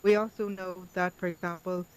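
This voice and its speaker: A man's voice: high voice, flat delivery, brisk, clear, resonant tone, rough recording. A man earnestly explaining religious rulings in a casual discussion, with frequent affirmations. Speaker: speaker explaining Islamic rulings. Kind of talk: discussion in a virtual chat room.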